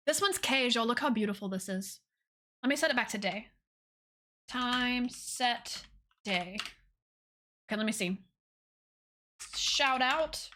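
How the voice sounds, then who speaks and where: Woman streamer chatting casually about a game, high bright voice, super enthusiastic, says y'all a lot. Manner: gushing, enthusiastic; informal, says y'all and repeats phrases. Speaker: game livestream host. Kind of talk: casual livestream chat and game tour.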